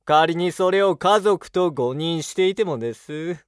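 A man's voice: fairly high pitch, a little slow, smooth, no echo, clean studio sound, natural voice. An actor playing a polite, analytical male technician in an audio drama, explaining patiently in formal speech. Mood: knowing. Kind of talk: Japanese audio drama acting.

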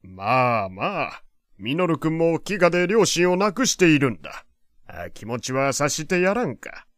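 A man's voice: on the high side, sing-song, a little slow, no echo, clean studio sound. An actor playing a teasing, wealthy old man who speaks in an archaic, grandly courteous way in an audio drama. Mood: genial. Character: elderly male wealthy patron, genteel, teasing, old-fashioned. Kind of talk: Japanese audio drama acting.

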